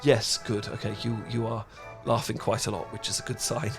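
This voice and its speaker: A man's voice: lowish voice, level tone, moderate pace, faint music behind, close to an everyday voice. A British man playing a cute, awkward young dork in a romantic roleplay, stammering and rambling as he talks. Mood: anxious babbling. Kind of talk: romantic ASMR roleplay acting.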